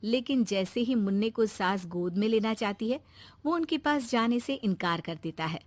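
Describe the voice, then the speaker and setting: Woman narrator for a moral story, high pitched, scripted read, no room sound. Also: animated, normal speed, no echo.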